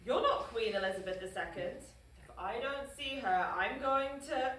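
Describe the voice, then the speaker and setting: A woman's voice: on the high side, moderate pace, fairly bright tone, distant mic, lightly acted. A woman reading a suspicious, demanding caller devoted to a queen at a comedy animation table read. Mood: threatening. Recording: echoey room from afar, faint noise.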